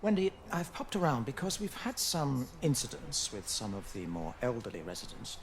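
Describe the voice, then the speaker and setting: An actor playing a mild, careful English village doctor in a naturalistic video game drama. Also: male voice, mid-range voice, sing-song, moderate pace, vocal fry, a little hiss or hum. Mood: concerned, hesitant.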